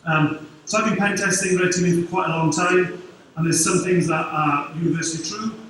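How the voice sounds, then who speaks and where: Welsh male conference speaker, middle-aged, quick and informal, faint hall ambience. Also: high voice, fairly even intonation, a bit bright, a little hiss or hum. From Wales. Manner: quick, informal, dry humour. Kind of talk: conference talk. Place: large dark conference hall. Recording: very echoey hall, distant mic.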